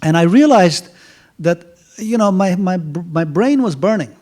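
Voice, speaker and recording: A middle-aged man telling a story casually at a university event, halting with many fillers and restarts. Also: high-pitched, lively intonation, warm tone. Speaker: author speaking at a university event.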